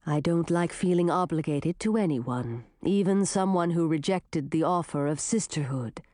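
A woman's voice: sits low, dry recording, studio quality, voice pushed down, lightly acted. A woman voicing a cool, knowing forest spirit. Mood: calm knowing. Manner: calm, measured, knowing.

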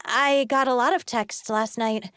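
A woman's voice: a little high, steady tone, unhurried, a bit bright, clean tone, dry recording, clean studio sound. A woman voicing a reluctant, overwhelmed schoolgirl heroine in a children's audiobook, polite but exasperated.

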